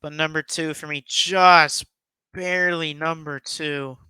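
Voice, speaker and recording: A man's voice: a little high, steady tone, measured pace, bright, forceful tone, smooth, no echo. A man hosting a casual, enthusiastic countdown commentary, speaking in an emphatic, effusive and rambling way. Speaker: YouTube host giving a top ten countdown.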